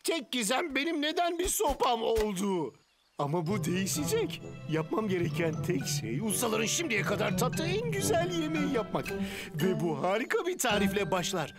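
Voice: mid-range voice, sing-song, normal speed. Theatrical, eager cartoon mouse hero. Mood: determined, excited. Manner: theatrical, exclaiming, talking to self. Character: eager, food-loving yellow mouse-like hero, dramatic and grumbling. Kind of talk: cartoon dub acting. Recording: loud music bed.

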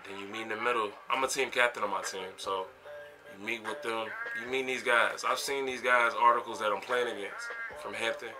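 A man's voice: mid-range voice, fairly even intonation, a little fast. Young American man, slangy vlog talk over music. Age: 20s. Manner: slangy, emphatic, conversational. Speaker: vlogger and college basketball player. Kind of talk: casual vlog reflection. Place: small bedroom, indoors.

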